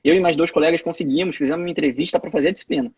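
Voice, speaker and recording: Husky-voiced Brazilian man, rambling. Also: on the high side, fairly even intonation, very fast, darkish tone, no room sound, highs cut off. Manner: rambling, many restarts and repeated words. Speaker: interview guest. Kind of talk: casual interview over a live video call. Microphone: phone or laptop mic on a live stream.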